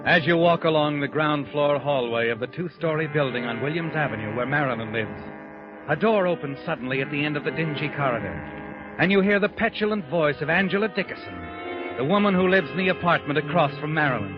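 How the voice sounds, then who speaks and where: An actor playing a sardonic, ominous narrator with a dramatic, insinuating delivery in 1940s radio mystery style. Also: male voice, fairly high pitch, expressive, fairly quick, close to an everyday voice. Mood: tense. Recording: lo-fi 1940s radio recording, music behind.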